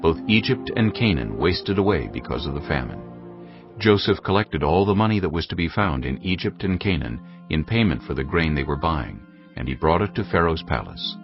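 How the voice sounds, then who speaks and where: Very deep, gravelly male narrator. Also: big pitch swings, moderate pace. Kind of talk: dramatized scripture narration. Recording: dull lo-fi audio. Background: faint music.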